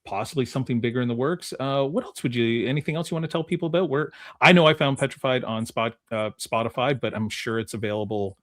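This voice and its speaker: A man's voice: medium pitch, quick pace, hardly any pauses, a bit bright. A man hosting a podcast in casual chat, with an informal, rambling way of talking. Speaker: podcast host.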